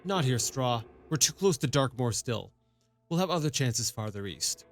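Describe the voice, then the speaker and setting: A man's voice: medium pitch, lively intonation, normal speed, natural voice. A man voicing a proud, scornful young elf woman thief with a cool, dismissive manner in a story reading.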